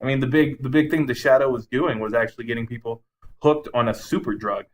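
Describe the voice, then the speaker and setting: A man's voice: mid-range voice, fairly even intonation, fast. A man co-hosting a podcast, casually and enthusiastically rambling through his favorite game movies with some swearing. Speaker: podcast co-host.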